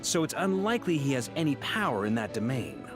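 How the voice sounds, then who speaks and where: An actor playing a steady, commanding knight grand master in a video game, speaking with measured authority. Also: male voice, mid-range voice, music in the background, lightly acted. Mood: confident, commanding.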